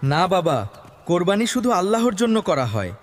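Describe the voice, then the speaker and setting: A man playing a gentle, pious father who teaches his young son in a children's educational cartoon. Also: sits high, sing-song, moderate pace, slight background noise. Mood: instructive. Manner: instructive, kindly, simple phrasing.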